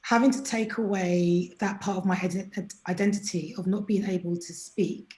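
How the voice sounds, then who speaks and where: A woman's voice: mid-range voice, moderate pace, echoey room, poor audio. A woman as an interview guest, talking candidly and animatedly, sometimes acting out what other people said to her. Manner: chatty, animated, acts out quoted people.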